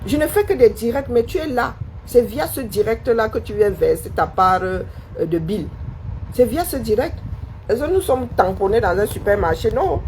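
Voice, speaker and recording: A woman holding forth on a live stream, scornful and confrontational, peppering a critic with rhetorical questions. Her voice sits somewhat high and leaps around expressively. A faint hum of background noise runs under the recording. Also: animated, moderate pace, a little mellow, rough recording.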